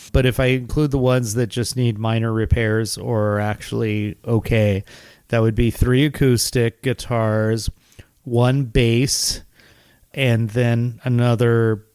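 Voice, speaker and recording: A man's voice: mid-range voice, a little mellow, smooth, no room sound. A man in his fifties, a memory researcher as interview guest, good-humored and rambling, laughing at himself. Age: 50s. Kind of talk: casual interview chat. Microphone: video call.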